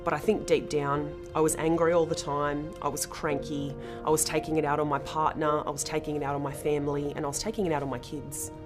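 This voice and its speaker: A woman's voice: deep voice, flat delivery, fairly quick, hardly any pauses. An Australian woman giving a candid, plain-spoken personal testimonial. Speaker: fitness challenge participant. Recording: clear background music.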